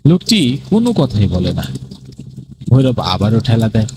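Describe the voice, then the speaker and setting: Animated male ghost-story narrator. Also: on the low side, moderate pace. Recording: clearly noisy, ambient sound behind voice.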